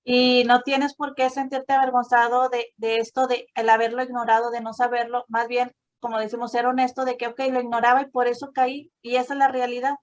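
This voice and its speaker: A woman's voice: fairly high pitch, flat delivery, fairly quick, hardly any pauses, dull audio. A woman hosting a conversation, explaining things in an encouraging, affirming way. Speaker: host. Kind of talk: conversational explaining, supportive interjections.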